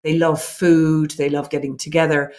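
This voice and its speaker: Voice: mid-range voice, flat delivery, normal speed, darkish tone. A speaker in a mid-range voice talks through a series of points in an interview. The tone is earnest and reflective, with frequent restarts as thoughts form. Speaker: business owner guest. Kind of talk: interview answers.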